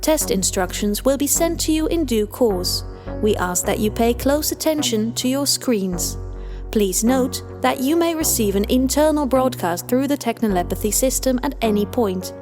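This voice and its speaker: Woman playing a high-voiced, formal female scientist. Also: lively intonation, lightly acted. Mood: formal, composed. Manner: formal, measured, procedural. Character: businesslike female scientist, formal, procedural, composed. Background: music.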